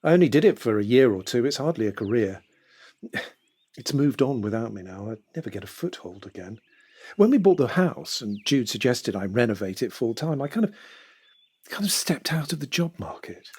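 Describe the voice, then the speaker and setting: A man with a British accent playing a genial, self-deprecating house husband of fifty in an audio drama, casual and hesitant with a husky voice. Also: medium pitch, big pitch swings, moderate pace, darkish tone. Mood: resigned.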